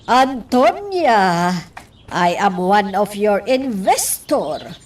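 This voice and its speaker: An excitable, money-minded mother from a radio drama, played by a woman. She speaks very slowly with a lively, swinging pitch, a little away from the mic with faint noise behind. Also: far from the mic. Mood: eager, flustered. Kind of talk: Ilocano radio drama acting.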